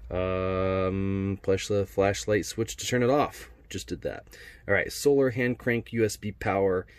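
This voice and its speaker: A man's voice: deep voice, fairly even intonation, unhurried, clear, resonant tone, dry recording. A man doing a casual, laid-back unboxing narration as a product reviewer, speaking in colloquial language. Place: indoors at a wooden workbench.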